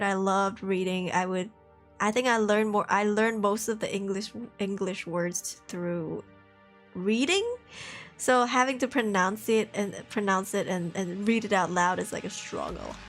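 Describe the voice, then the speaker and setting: A young woman from Malaysia chatting casually on a live stream, reading viewer messages aloud and laughing often. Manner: chatty, laughs often, lively jumps in pitch. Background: faint background music.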